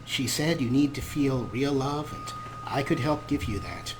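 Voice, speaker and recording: A man's voice: mid-range voice, a little slow, noisy background. A man hosting a gaming show with casual let's-play commentary, talking in a wry, rambling way.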